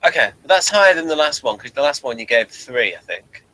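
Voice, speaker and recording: Bright, sharp-voiced man hosting a discussion. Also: medium pitch, sing-song, fairly quick, rough recording. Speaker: host asking for a rating. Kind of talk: casual review discussion.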